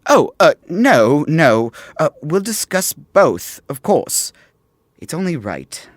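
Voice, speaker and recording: Audio drama: effusive middle-aged royal advisor dad, status-hungry and fussing, hugely animated delivery, dry close sound. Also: male voice, fairly high pitch, takes it slowly, no room sound, exaggerated voice. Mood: fussing, eager. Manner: effusive, theatrical. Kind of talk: modern audio drama acting.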